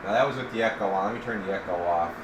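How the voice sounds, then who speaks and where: Low-voiced man over clearly noisy background. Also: racing. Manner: informal, rambling, explains as he works. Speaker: radio repair technician presenting his bench work. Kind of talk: casual hands-on demonstration vlog. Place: indoor radio repair workbench.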